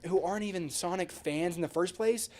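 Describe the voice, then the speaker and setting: A man co-hosting a podcast in casual, excitable, slang-filled chat with some swearing. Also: high voice, brisk. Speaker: podcast co-host.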